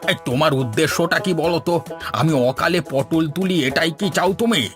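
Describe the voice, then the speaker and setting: A man's voice: fairly high pitch, normal speed, natural voice. A man voicing a thrifty, exasperated older father-in-law in a cartoon, grumbling and sarcastic. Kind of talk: Bengali cartoon dialogue. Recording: music under the voice. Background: music.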